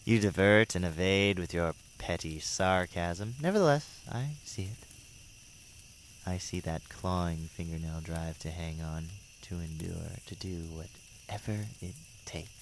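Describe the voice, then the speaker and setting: A man's voice: deep voice, unhurried, a little hiss or hum. A man playing a devilish, mocking demon tempter in a fan audio drama, speaking with theatrical mock courtesy and ornate words. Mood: gloating, coaxing.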